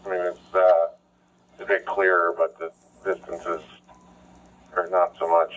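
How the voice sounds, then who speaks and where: Low-voiced man over dull ham radio. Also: flat delivery, measured pace, fairly bright tone. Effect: radio transmission. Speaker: amateur radio operator. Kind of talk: casual two-way radio chat.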